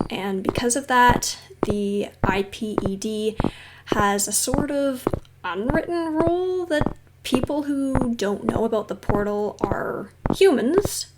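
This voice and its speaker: A woman playing a friendly, patient mentor in a roleplay audio drama, speaking to the listener in a gentle, reassuring, casually instructive way. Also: a little high, very noisy. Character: friendly female mentor with powers, patient and encouraging trainer.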